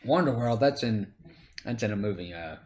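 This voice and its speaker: A man's voice: on the low side, expressive, normal speed, darkish tone, a little hiss or hum. A middle-aged man hosting, chatting casually and teasingly with his son while they watch wrestling footage. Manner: teasing, chatty, laughs easily.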